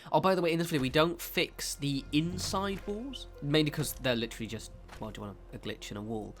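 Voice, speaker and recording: A man's voice: on the high side, a little fast, soft background music. A man, nasal and stuffed up from a cold, talking through a game building tutorial in a chatty, rambling way that keeps correcting itself. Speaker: game video creator.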